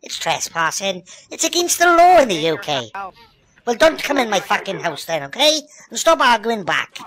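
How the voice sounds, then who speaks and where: A man's voice: high voice, big pitch swings, normal speed, pushed and bright, poor audio. A Welsh man in online game voice chat, scolding other players in an indignant, sweary rant. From Wales. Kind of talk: comedic in-game trolling rant.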